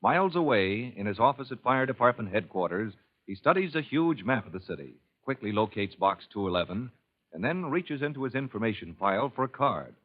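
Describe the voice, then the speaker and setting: Man narrating an old radio drama, smooth and measured, dull old radio sound. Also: mid-range voice, sing-song. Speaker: narrator and announcer.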